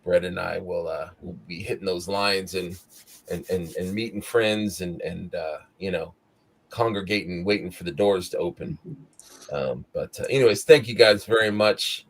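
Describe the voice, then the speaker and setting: Male live-show host, low-ish voice, hoarse and scratchy, loose rambling delivery. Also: moderate pace. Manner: rambling, tired but upbeat. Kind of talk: casual live-stream chat.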